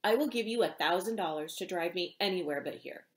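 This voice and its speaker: A woman's voice: on the low side, fairly quick, close to an everyday voice. A woman voicing a frantic, weary male movie star with an urgent, commanding or pleading manner in a story reading. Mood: tired, polite. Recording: roomy home echo.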